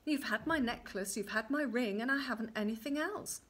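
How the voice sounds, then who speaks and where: A woman's voice: on the high side, normal speed, a bit bright. A woman voicing an anxious young woman from a folk tale, with an earnest, pleading manner. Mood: despairing.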